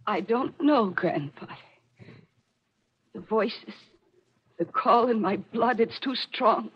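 High husky haunted young woman. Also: takes it slowly, exaggerated voice. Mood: haunted, despairing. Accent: American. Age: young adult. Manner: melodramatic, intense. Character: haunted, fatalistic young woman fearing a family curse. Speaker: radio actor. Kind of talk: 1940s radio mystery acting. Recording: muffled old radio recording.

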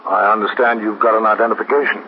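Guy acting a sober precinct captain, lowish voice, muffled old radio with some hiss. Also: steady tone, fast talker, close to an everyday voice. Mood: businesslike. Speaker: actor in radio police drama. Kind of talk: 1950s radio drama acting. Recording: muffled, noisy 1950s radio recording.

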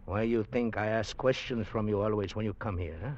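Male actor for secretive Mexican fugitive, low voice, Mexican Spanish accent, conspiratorial, halting English; muffled vintage radio drama. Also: a little mellow, lightly acted. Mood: guarded. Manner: conspiratorial, halting non-native English phrasing. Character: secretive Mexican ex-revolutionary fugitive plotting gold recovery. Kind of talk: 1940s-50s radio drama acting.

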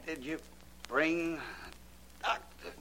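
Weak, high-voiced wounded professor. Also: male voice, drawn-out words. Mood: pained, pleading. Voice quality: weak, strained. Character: gravely wounded male professor, a hired killer, weak and pleading. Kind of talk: 1940s radio acting. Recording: very noisy old radio recording with clicks.

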